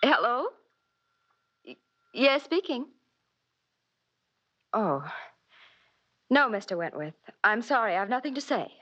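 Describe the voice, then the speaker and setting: An actress playing a cheerful young wife in old radio soap opera style. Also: female voice, fairly high pitch, sing-song, takes it slowly, long pauses, fairly bright tone, full character voice. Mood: polite, guarded. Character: sunny, cheerful young wife from small-town Iowa. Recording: muffled old radio recording.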